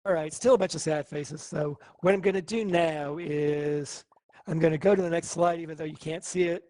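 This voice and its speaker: A man teaching a live online coding class, thinking aloud and explaining in a relaxed way. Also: fairly high pitch, normal speed.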